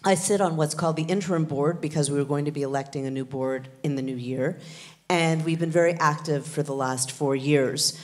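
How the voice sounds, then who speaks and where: A middle-aged woman on a theater stage, explaining her producers' group to a conference audience in a measured, matter-of-fact way. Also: sits low, fairly even intonation. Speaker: panelist, producers' group board member. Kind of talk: conference talk. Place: large dark theater stage. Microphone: handheld microphone.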